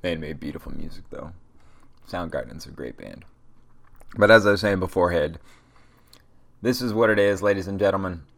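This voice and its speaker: Young guy w a deep gravelly voice, stuffed up from a cold, cussing and rambling motivational stuff. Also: moderate pace, pauses often. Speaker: podcast host. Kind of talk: casual solo podcast rant.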